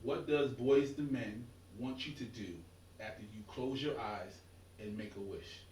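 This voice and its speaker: A man's voice: mid-range voice, measured pace, darkish tone, roomy sound, recorded from a distance, slight background noise, low-quality recording. A man hosting a podcast, casual and encouraging as he runs a music quiz. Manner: easygoing, encouraging, laid-back slang. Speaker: podcast host. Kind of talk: casual podcast chat, running a quiz game.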